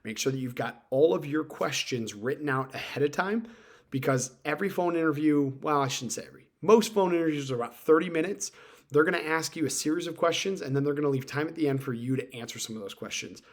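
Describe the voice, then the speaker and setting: A man's voice: on the high side, fast talker. A man giving informal instructional advice as a video host, speaking emphatically and repeating his key points. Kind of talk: informal instructional talk to camera.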